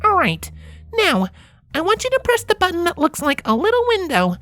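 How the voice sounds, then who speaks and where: A woman voicing a young female cartoon pony who gives brisk, patient tech instructions in a storybook reading. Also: very high-pitched, wildly swooping pitch, fairly bright tone, faint music behind, voice pushed up, heavily acted. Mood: brisk, instructing.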